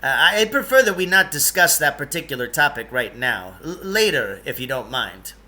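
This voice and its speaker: Man putting on a bright, jumpy, fussy guide. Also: a little high, sing-song, moderate pace, full character voice. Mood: nervous, evasive. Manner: hesitant stammering, then emphatic and touchy. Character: jumpy, fussy, proud male guide from another world.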